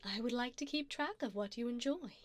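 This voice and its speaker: A woman's voice: fairly high pitch, brisk, lightly acted. A woman voicing an elegant, flirtatious vampire in an ASMR roleplay, speaking formally and teasingly to the listener with endearments.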